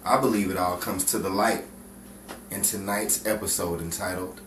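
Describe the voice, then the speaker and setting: A man's voice: low voice, steady tone, moderate pace, fairly bright tone. A man giving a scripted, dramatic introduction as narrator of a show. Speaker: show narrator and cast member. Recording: roomy, distant mic with faint noise.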